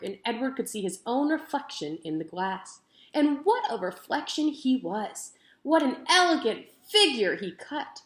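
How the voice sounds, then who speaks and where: Woman reading a storybook in an echoey room. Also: mid-range voice. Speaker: teacher reading aloud. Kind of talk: expressive children's book narration. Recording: roomy echo.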